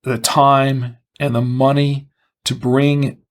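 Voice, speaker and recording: A man presenting a semi-scripted product review, explaining and listing features in measured, short phrases. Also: mid-range voice, little pitch movement.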